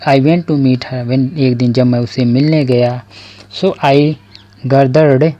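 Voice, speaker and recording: Indian guy teaching english super slow, warm low voice, kinda muffled lo-fi audio. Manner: reads English lines very slowly, then explains in Hindi. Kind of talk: slow bilingual English lesson. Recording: dull lo-fi sound.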